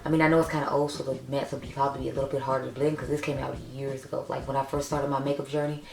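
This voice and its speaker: A young woman with a raspy voice, chatting casually and upbeat as she reviews makeup for her vlog. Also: a bass voice, level tone, very fast, a crowd in the background. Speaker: beauty YouTuber. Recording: echoey room.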